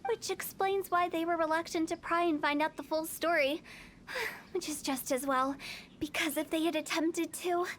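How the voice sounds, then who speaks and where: Very high young female voice, game funeral director, playful, eccentric, theatrical, slight background noise. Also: steady tone, moderate pace, fairly bright tone. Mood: breezy, mysterious. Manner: teasing, breezy, theatrical. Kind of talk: video game voice acting.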